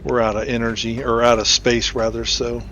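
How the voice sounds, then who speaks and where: A man talks casually through a video game as he plays, hosting in a middle-pitched voice. There is clear background noise behind his commentary. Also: flat delivery, moderate pace, fairly bright tone, dull audio. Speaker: gaming video host.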